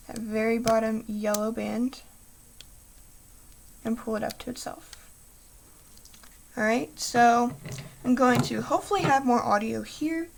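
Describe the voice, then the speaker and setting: A young woman hosting a craft tutorial, soft-spoken and apologetic, talking through each step while losing her voice. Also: on the high side, vocal fry, slight background noise. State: losing her voice, clearing throat.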